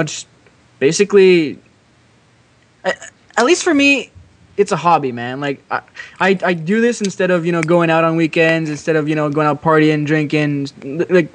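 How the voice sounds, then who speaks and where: Higher-voiced man from Quebec, halting and slangy. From Quebec, Canada. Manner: halting, repeats words, slangy. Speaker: podcast co-host, gaming YouTuber. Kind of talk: casual chat.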